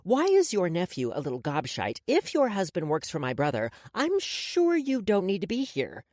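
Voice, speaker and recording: A woman reader plays a menacing woman in charge from an audiobook. Her voice is low and very animated, mocking and commanding, recorded close and dry. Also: moderate pace, no room sound. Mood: cold, mocking. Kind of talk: audiobook character dialogue.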